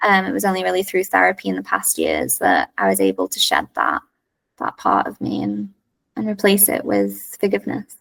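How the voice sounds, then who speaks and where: A woman talks as a podcast guest, candid and frank. Her voice carries a gravelly vocal fry at a middling pitch. She is recorded a little away from the mic. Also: wildly swooping pitch, brisk, fairly bright tone, recorded from a distance, rough recording. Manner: candid, frank, laughs easily. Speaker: podcast guest, coach and yoga teacher. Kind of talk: casual podcast conversation.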